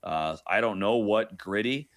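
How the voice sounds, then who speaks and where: A man with a fairly low voice gives conversational sports analysis as a radio guest. He tells it informally, like a play-by-play announcer off duty, and drops in wry asides. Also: measured pace.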